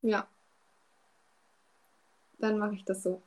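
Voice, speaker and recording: A woman's voice: medium pitch, level tone, slow. A woman chatting casually as a player in a tabletop game, tentative and full of questions about her moves.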